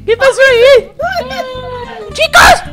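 A woman voicing an excitable baby boy in a Minecraft roleplay, repeating words in bursts. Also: extremely high pitch, slow pace, over loud music, full character voice. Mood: excited, shouting. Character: excitable squeaky baby boy game character. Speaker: host leading a group roleplay. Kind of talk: loud playful Minecraft roleplay.

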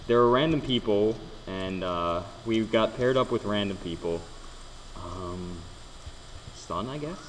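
Young man with a lively voice, echoey room. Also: fairly low pitch, measured pace, slight background noise. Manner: joking, swearing, stammering restarts. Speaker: let's play host. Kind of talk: casual gameplay commentary. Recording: echoey room with long reverb.

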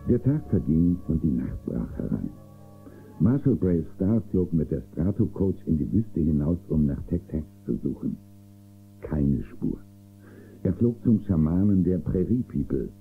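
A man's voice: sits low, very animated, a little slow, gravelly, soft background music, exaggerated voice. A man narrating a scripted space-western radio drama as a grave storyteller. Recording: dull phone-like old cassette copy.

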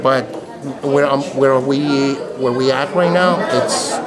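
A man being interviewed casually on a red carpet, speaking effusively and haltingly with repeated words. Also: mid-range voice. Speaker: interview guest, real estate investor. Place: red carpet at a movie premiere, indoors. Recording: loud crowd chatter in large public hall.

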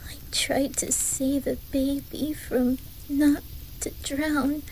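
A woman voicing a shy, traumatized young boy with a Swedish accent in a soft, whispery, hesitant ASMR roleplay. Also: high voice, little pitch movement, a little hiss or hum, rough recording. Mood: haunted, uneasy. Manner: hesitant, halting, gentle.

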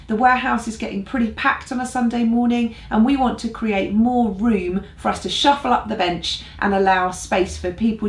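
A woman's voice: mid-range voice, vocal fry. A woman in her forties with an English accent, a pastor giving friendly church announcements to camera. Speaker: senior pastor presenting a church newsletter. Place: small living room, on a sofa. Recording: roomy, echoey living room.

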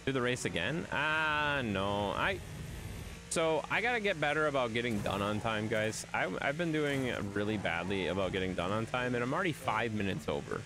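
A man's voice: medium pitch, normal speed, noisy background. A man in his thirties streaming a racing game from a home setup, commentating in self-mocking bursts and yelping at his crashes. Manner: excitable, swoops up into yelps at crashes; self-mocking, addresses chat as guys. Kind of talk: live gameplay commentary. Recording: game music under voice. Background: game music.

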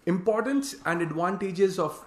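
A man's voice: high voice, a little slow. Man reading lecture slides, echoey. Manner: reads slide text aloud, then adds plain explanations. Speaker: hydroponics farm consultant presenting slides.

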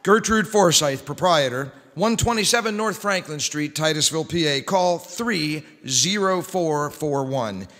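A middle-aged man announces in old-time radio style. His voice sits slightly high and rings through a large hall. He reads scripted spots with a formal host's delivery. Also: lively intonation, unhurried, few pauses, fairly bright tone. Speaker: radio show host and announcer. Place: large church-like hall on stage, indoors. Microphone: stand microphone at lectern. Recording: reverberant hall.

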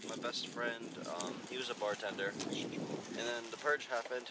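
A young man acting out amateur film dialogue in a halting, casual way, recorded outdoors on a camera mic. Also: medium pitch, steady tone, heavy background noise. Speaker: amateur actor in film outtakes. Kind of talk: rehearsing scripted dialogue, casual. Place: outdoors in woods.

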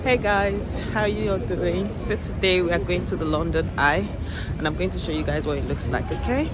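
A woman's voice: lowish voice, a little fast. A young adult woman talking to her viewers in a casual travel vlog, chatty and upbeat, a little tired, filming herself with a handheld phone outdoors. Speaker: vlogger. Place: outdoors on a busy city riverside. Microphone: handheld phone at arm's length. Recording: street noise and crowd behind. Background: crowd chatter and traffic.

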